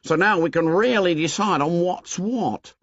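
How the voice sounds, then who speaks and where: A man's voice: sits high, measured pace, fairly bright tone, dry recording, dull audio, voice pushed up, full character voice. A man voicing a fussy, indignant boy who lectures the others in ungrammatical speech.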